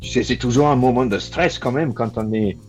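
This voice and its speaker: A man from Ireland, an English speaker talking as a podcast guest in relaxed, chatty French. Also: medium pitch, a little fast, soft background music. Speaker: guest, automotive engineer. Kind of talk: podcast interview.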